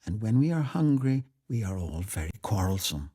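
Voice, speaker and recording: Man playing young storybook niece, polite, pacifying, coaxing, slightly lifted pitch. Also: sing-song, moderate pace, husky, dry recording, studio quality. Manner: gently coaxing.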